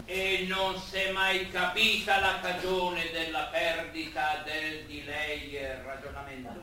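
An actor playing a mild, naive, bookish gentleman clerk in a radio play, speaking in a formal, polite and stilted way. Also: fairly high pitch, little pitch movement, slow pace, exaggerated voice. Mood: curious, concerned. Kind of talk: Italian stage-style radio theatre dialogue. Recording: distant, very echoey room, faint noise.

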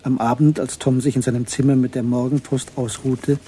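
A man narrating a German literary radio play in a measured, sober storytelling manner. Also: mid-range voice, little pitch movement, dark tone, a little hiss or hum, lightly acted. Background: music.

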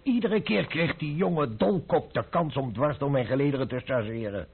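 An actor playing a hot-headed baron and dragoon officer in a Dutch radio play, gruff, clipped and indignant. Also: male voice, mid-range voice, normal speed. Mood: blustering, exasperated. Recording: tinny, phone-like old radio play recording.

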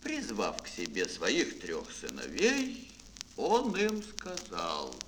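A man telling a fairy tale for children in a dramatic, drawn-out, expressive storyteller's manner. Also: on the low side, background noise. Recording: music behind, old mono record. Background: music.

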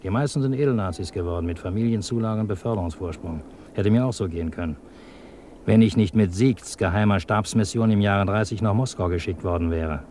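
A male actor as a hardened revolutionary and ex-officer in a radio play. The voice sits low and presses its case with sardonic insistence. A faint noise lies behind it. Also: a little fast, dark tone, lightly acted. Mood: insistent, confiding. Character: hardened, persuasive communist agent, ex-officer, lecturing and sardonic. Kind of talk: German radio play acting. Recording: slight background noise.

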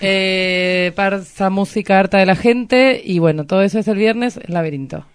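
A man's voice: sits high, steady tone, moderate pace, clean tone, no echo, studio quality. A man speaking as an interview guest in a casual, unscripted way. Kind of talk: casual, unscripted event announcement.

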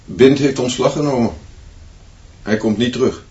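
A man's voice: medium pitch, normal speed, dark tone, lightly acted. An actor playing a grave male schoolteacher who speaks in solemn, declarative statements in a radio drama. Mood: solemn, firm. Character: grave male schoolteacher explaining a colleague's downfall. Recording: echoey room, dull sound.

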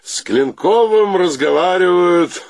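Male: amorous, touchy radio-comedy gallant, florid delivery, gravelly voice, dry close sound. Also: high voice, animated, slow pace, no echo, full character voice. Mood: gallant flirting. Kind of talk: theatrical radio comedy acting.

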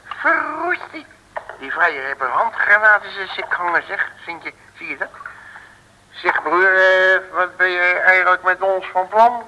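A blustering detective in a vintage radio serial. He is high-voiced and very sharp, brash and slangy, recorded in a long reverb with faint hiss. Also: animated, unhurried, exaggerated voice. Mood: cheeky defiant. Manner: colloquial, brash, wisecracking. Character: cocky, blustering, streetwise male detective. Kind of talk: 1950s radio drama acting.

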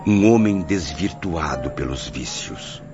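A solemn first-person narrator played by a man with a low, deep voice. The delivery is literary, in a muffled radio drama recording over music. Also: expressive, slow pace, exaggerated voice. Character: solemn spirit narrator recounting his memoirs.

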